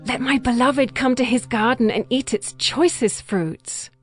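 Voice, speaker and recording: An actress playing a young, ardent bride in a dramatized scripture reading, speaking in a measured, lyrical and earnest way. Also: female voice, a little high, soft background music, natural voice. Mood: inviting. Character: young bride longing for her beloved, ardent and poetic.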